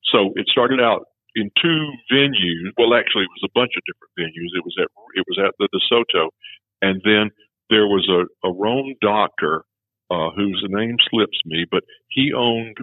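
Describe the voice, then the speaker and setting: Man, very low voice, husky, rambling storyteller, dry tinny lo-fi sound. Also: moderate pace, dry recording. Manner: rambling, many restarts, chuckles at his own stories. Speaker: former film festival executive director. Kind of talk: casual conversation.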